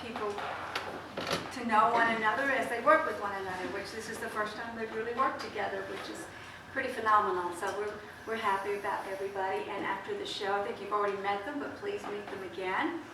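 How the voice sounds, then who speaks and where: A woman's voice: mid-range voice, fairly even intonation, fast talker. A woman who teaches acting gives an informal, rambling introduction to an audience at a student show. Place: small theatre, recorded from the audience. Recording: echoey room, distant mic, clear noise.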